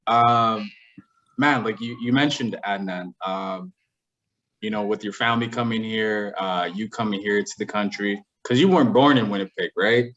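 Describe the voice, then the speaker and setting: A man who immigrated to Canada, co-hosting and asking long, relaxed, rambling questions. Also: lowish voice, normal speed, lo-fi sound. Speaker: co-host. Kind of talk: interview chat.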